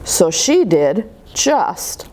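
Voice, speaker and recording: Slow, animated woman reading a children's story. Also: lowish voice, slight background noise. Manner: expressive, deliberate, drawn-out delivery for young children. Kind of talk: read-aloud picture book storytelling.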